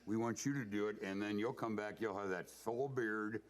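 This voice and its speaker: Folksy low-voiced man bantering on TV. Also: fairly even intonation, normal speed. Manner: teasing, folksy, joking. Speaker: musician guest, band leader. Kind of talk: casual banter.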